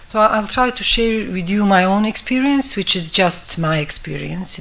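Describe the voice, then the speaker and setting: Low-voiced Bosnian woman, reflective. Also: vocal fry, fairly bright tone. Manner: reflective, halting phrasing in non-native English. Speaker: film director giving a talk. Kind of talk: informal public talk, conversational.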